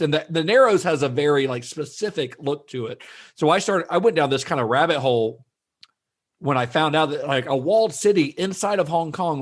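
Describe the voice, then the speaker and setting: A man co-hosting a movie podcast, chatting easily about film history. His voice sits a little high for a man, and he talks in loose, rambling runs full of restarts. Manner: chatty, relaxed, with frequent "like" and restarts. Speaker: podcast co-host. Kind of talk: casual film discussion.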